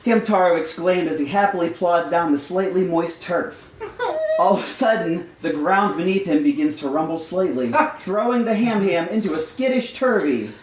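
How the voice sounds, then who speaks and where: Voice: medium pitch, normal speed, a little hiss or hum. A comedy storyteller reading a scripted tale live in an amused, mock-formal storybook manner. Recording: echoey live room.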